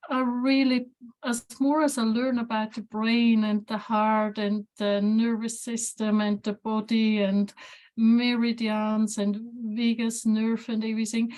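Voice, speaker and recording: A woman's voice: medium pitch, a little mellow. A woman in her forties from Switzerland with a Swiss accent, earnestly describing her therapy work on a video call, searching for words. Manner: earnest, searching for words, slightly non-native phrasing. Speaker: guest therapist. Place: home room with shelves, indoors. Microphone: laptop webcam on video call.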